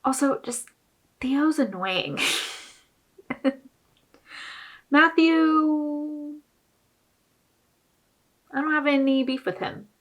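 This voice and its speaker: A woman's voice: sits high, extremely slow, long pauses. A woman hosting a reaction video, giving casual, opinionated commentary on a TV show in a conversational way.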